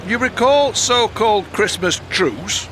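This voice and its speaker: A canny Northern English newspaper editor of the 1910s in a radio play. The voice is high for a man, yet gruff, teasing and conspiratorial. Clear car noise runs behind it. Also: expressive, unhurried. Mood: sly, explaining. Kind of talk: audio drama acting. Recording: noisy moving-car ambience behind.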